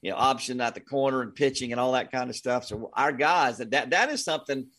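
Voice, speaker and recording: A man's voice: mid-range voice, fast talker, fairly bright tone. An American man, a sports announcer speaking as an interview guest, giving casual analysis.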